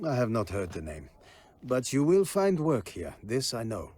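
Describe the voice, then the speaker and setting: An actor playing a stern, proud army quartermaster in a fantasy video game, speaking formally and precisely in a husky voice. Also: male voice, medium pitch, huge pitch swings, normal speed, mellow, a little hiss or hum. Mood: suspicious.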